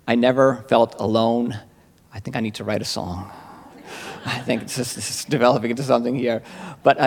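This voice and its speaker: Man, mid pitch, gravelly edge, sincere grateful church testimony, roomy sanctuary reverb. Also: a little mellow. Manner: heartfelt, grateful, a little halting with fillers. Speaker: church member giving testimony. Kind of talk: spoken testimony to a congregation.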